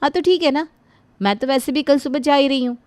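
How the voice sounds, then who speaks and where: A woman voicing a rude, sharp-tongued young daughter-in-law who snaps scornfully at her mother-in-law. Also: high-pitched, a little fast, no room sound, pitched above the performer's own voice, lightly acted. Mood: snappy. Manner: snappy, scornful, lively.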